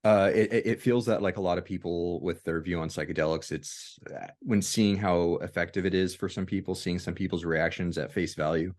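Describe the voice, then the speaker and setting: A man hosting a casual discussion, speaking in hesitant, halting phrases with many pauses. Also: deep voice, steady tone, darkish tone. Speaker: discussion host.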